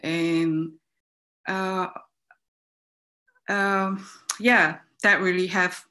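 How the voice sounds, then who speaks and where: A woman in her thirties speaks English with a Korean accent, her first language being Korean. Her voice sits a little low and she talks slowly, pausing and filling gaps as she searches for words. She is heard through a laptop mic on a video call from a book-lined home room. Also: fairly even intonation, bright, forceful tone. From South Korea. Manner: thoughtful, hesitant, many fillers. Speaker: moderator. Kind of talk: opening remarks on a panel. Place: home study with bookshelves.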